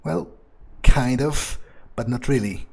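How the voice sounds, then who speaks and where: A man's voice: mid-range voice, takes it slowly, vocal fry, darkish tone, husky, full character voice. A man playing a smug, power-hungry vampire in improvised roleplay, musing and gloating in a hesitant way. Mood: smug, matter-of-fact. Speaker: gamer playing in character.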